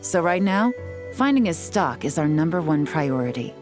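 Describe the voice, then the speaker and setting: A woman's voice: low-pitched, big pitch swings, moderate pace, over music. A woman playing a cool, shrewd, confident intelligence agent in a video game, speaking in an assured, instructive way. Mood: assured, businesslike.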